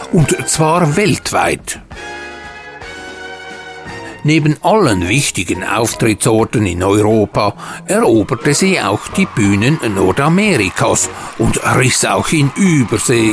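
A man hosting and narrating from a script in formal, ornate phrasing. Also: medium pitch. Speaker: narrator and host of audio drama show.

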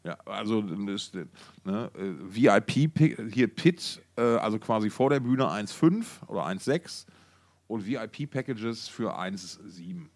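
A man co-hosting a podcast in casual, colloquial chat, thinking aloud with halting phrasing. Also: fairly low pitch, expressive, no room sound. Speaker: podcast co-host. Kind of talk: casual chat about music.

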